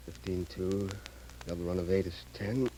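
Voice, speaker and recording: A man playing an ailing old husband who hides his suffering in a radio drama. The voice is fairly low, weary, halting and subdued. The 1930s recording is clearly noisy. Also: fairly even intonation, close to an everyday voice. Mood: strained, subdued.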